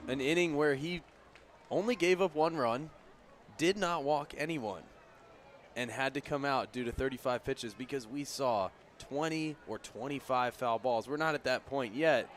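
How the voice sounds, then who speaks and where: A man's voice: mid-range voice, moderate pace, slight background noise. A man giving conversational, explanatory color commentary on a live baseball radio broadcast. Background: outdoor ballpark ambience.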